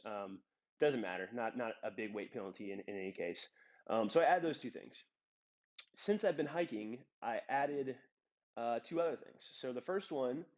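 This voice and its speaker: A man's voice: medium pitch, muffled recording. A young man with a slightly stuffed-up voice, talking casually and rambling through his hiking gear with lots of ums, filmed on a phone. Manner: relaxed, rambling with many ums. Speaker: hiking vlogger. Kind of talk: casual gear talk to camera. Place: in a trail town. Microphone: phone on a trekking-pole clip.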